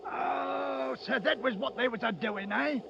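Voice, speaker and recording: Casting: creaky, extremely high-pitched nosy Cockney commoner for old-time radio drama. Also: male voice, a little slow, exaggerated voice. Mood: gossipy. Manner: inquisitive, colloquial. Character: nosy, excitable working-class Cockney train passenger. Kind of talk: 1930s radio character acting. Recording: noisy, dull old radio broadcast.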